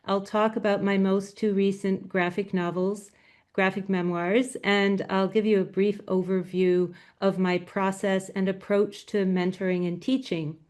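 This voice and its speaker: Soft-spoken woman presenting over a video call. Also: mid-range voice, flat delivery, a little slow. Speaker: guest speaker, graphic novelist.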